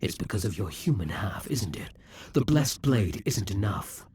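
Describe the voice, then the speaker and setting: A man voicing a proud, vengeful male demon king centuries old, speaking slowly and theatrically in an audio roleplay, with hissing whispers at times. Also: on the low side, sing-song, darkish tone, heavily acted. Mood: incredulous. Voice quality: very husky, breathy.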